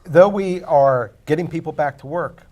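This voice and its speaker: A man's voice: fairly high pitch, moderate pace, darkish tone, lo-fi sound. A man on a TV panel giving blunt, opinionated takes on the news. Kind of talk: casual panel discussion.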